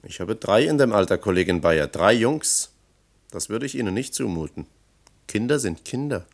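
Low-voiced, measured earnest male official. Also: animated, creaky. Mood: earnest, doubtful. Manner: measured, formal, probing. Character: earnest male official, father of three, cautiously discouraging. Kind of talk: German radio play acting.

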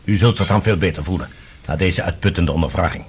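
An actor playing a composed, authoritative security general in a radio drama, speaking formally and courteously but firmly, with a husky voice. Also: male voice, low voice, quick pace, slight background noise, natural voice. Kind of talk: Dutch radio drama acting.

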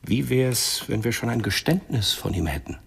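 An actor playing a gruff, smugly hostile male police inspector in a radio crime drama, husky and condescending. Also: mid-range voice, animated, normal speed, a little hiss or hum. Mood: smug, pressing. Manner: condescending, threatening.

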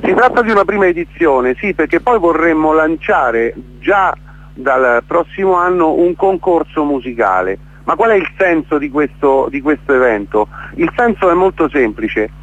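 A man's voice: a little high, expressive, moderate pace. A man being interviewed on the radio as an event organiser, explaining emphatically and with emotion, repeating words for stress. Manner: impassioned, emphatic, repeats words, checks with "capito?". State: emotionally worked up. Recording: dull, lo-fi radio sound.

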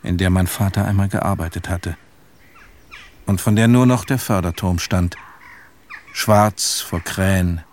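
A somber, reflective son telling his story in a literary radio drama. His voice sits fairly low and he speaks very deliberately, lingering on phrases. A little background noise sits under the narration. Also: darkish tone. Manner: measured, pensive, deliberate.

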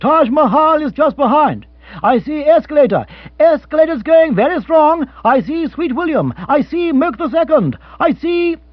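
A man's voice: very high voice, animated, normal speed, dry recording, dull audio, voice pushed up. A man reading an audiobook, voicing a male radio horse-race commentator calling the race in a rising, eager rush. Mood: excited.

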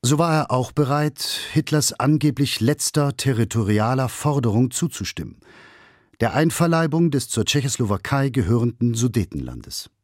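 A man's voice: mid-range voice, sing-song, normal speed, darkish tone, no echo, studio quality. A man reading a scripted historical documentary as its narrator.

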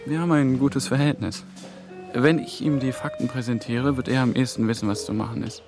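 Man acting young male trainee, middle pitch, sceptical, measured, careful, radio drama, clear music underneath. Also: fairly even intonation, natural voice. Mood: firm, defensive. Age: young adult. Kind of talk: German radio drama dialogue. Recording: music behind the dialogue.

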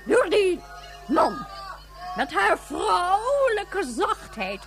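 A woman's voice: very high voice, big pitch swings, extremely slow, loud music behind the voice, full character voice. An actress playing a haughty, vindictive female ruler in a Dutch science fiction radio drama, speaking with imperious, theatrical venom. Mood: venomous outrage.

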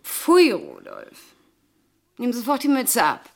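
Radio play role: a commanding, obsessive seductress, breathy and unhurried, with dramatic swings in pitch. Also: female voice, huge pitch swings, full character voice. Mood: indignant, scornful. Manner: commanding, drawn-out, often breathy and hushed. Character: domineering, sensual woman obsessed with a lost lover. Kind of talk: German radio play acting.

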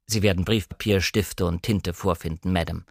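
A man voicing a reserved butler of a grand house in an audiobook, speaking in a measured, deferential, formal manner. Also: sits low, steady tone, creaky, dry recording, clean studio sound, pitched below the performer's own voice. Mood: politely reserved. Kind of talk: audiobook character reading.

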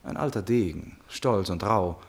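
Husky, impulsive lovestruck prince. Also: male voice, deep voice, unhurried. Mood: musing. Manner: theatrical, exclamatory, with musing asides. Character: impulsive, lovestruck prince, polite but self-absorbed. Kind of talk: classic German radio play acting.

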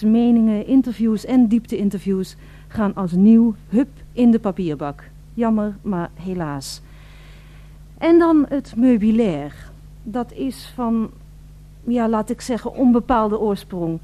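A woman playing the narrator of a radio play, addressing listeners formally and deliberately, with wry mock solemnity. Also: medium pitch, dark tone, slight background noise, close to an everyday voice. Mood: wry, declamatory.

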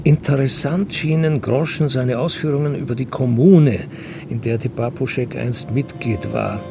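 A man's voice: mid-range voice, normal speed, gravelly, music in the background. A man reading scripted narration for a radio crime drama in a dry storytelling manner.

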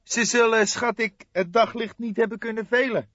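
Man acting a young police detective, audiobook character, raised pitch, excitable, indignant, questioning, dry sound. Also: moderate pace, dry recording, lo-fi sound. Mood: eager, thoughtful. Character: agitated, eager young male police detective.

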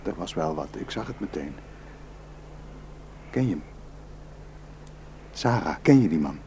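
A man's voice: sits low, expressive, unhurried, warm tone, a little hiss or hum. An actor in a radio drama playing a protective, suspicious, hot-tempered village herdsman and husband, scornful and impulsive. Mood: suspicious, probing.

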